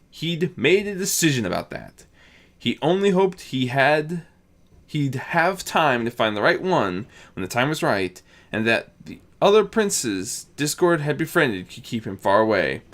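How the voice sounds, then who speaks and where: An animated narrator reads a story aloud at a middling pitch. The intonation swings very widely, and the delivery stumbles now and then over the script. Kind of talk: scripted narration, fan fiction reading.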